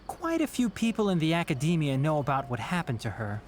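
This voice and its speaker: A man playing an earnest, thoughtful scholar in a video game, explaining things in a measured, respectful way. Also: fairly high pitch, sing-song, a little hiss or hum. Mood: solemn, explanatory. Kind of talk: video game voice acting, storytelling.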